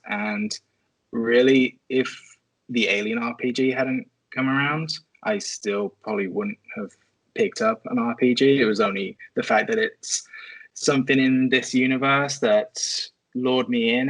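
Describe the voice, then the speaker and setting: Man, medium pitch, dry room-free sound, casual podcast guest. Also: a bit bright, no echo, rough recording. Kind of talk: casual chat.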